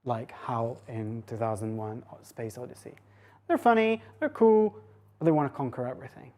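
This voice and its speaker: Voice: mid-range voice, lively intonation, normal speed, darkish tone. A woman lecturer giving an academic public talk, mixing scholarly phrasing with informal asides.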